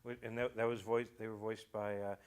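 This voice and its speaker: Low-pitched man chatting, halting delivery. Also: level tone, smooth. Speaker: radio show creator, guest. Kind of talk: casual talk-show conversation.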